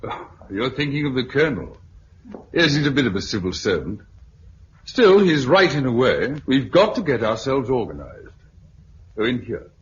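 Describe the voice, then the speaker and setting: A man with a British accent playing a brisk, affable organiser of survivors in a 1950s radio drama. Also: mid-range voice, sing-song, close to an everyday voice. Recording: muffled, hissy 1950s radio recording.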